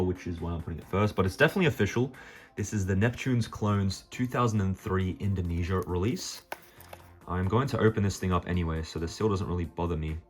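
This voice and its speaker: A man with an Australian accent talking casually and off the cuff to viewers as he presents a collection, rambling a little with hesitant asides. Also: deep voice. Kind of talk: casual unscripted show-and-tell to viewers.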